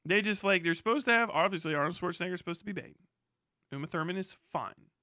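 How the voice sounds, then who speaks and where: A man in his thirties chatting casually as a livestream host, swearing freely and riffing in a sarcastic, animated way. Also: sits high, fast, no echo, clean studio sound. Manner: profane, animated, sarcastic ranting.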